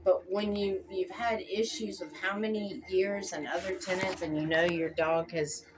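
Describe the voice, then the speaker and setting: Low-voiced woman arguing, faint noise. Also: steady tone, moderate pace, a crowd in the background. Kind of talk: argumentative phone conversation. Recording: faint noise on call recording.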